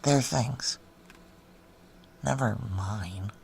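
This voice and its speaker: A woman's voice: a bass voice, drawn-out words, vocal fry, darkish tone, slight background noise, full character voice. An actress playing a weary, blunt career military woman in an audio drama, middle-aged, with an American accent and a wry, intimate way of talking. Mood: weary, resigned. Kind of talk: naturalistic noir audio drama dialogue.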